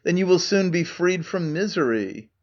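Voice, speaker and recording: A shrewd farmer trades dry, sarcastic verse, as a man reads him. The voice is a little high for a man and stays plain. The recording is dull and muffled. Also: animated, moderate pace. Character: shrewd, dry-witted male Athenian farmer.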